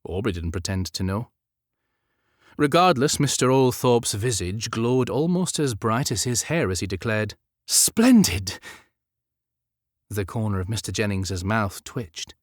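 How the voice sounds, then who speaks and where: A man narrates a historical novel in a lowish voice. His storytelling is measured and dramatic. The sound is dry and studio-close. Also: expressive, dry recording. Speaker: audiobook narrator.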